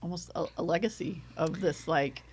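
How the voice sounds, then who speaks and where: A woman's voice: fairly low pitch, normal speed, a little mellow, slight background noise, rough recording. A woman hosting a casual interview, prompting her guest with questions and frequent encouraging "mm-hmm" and "right.". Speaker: interviewer, host.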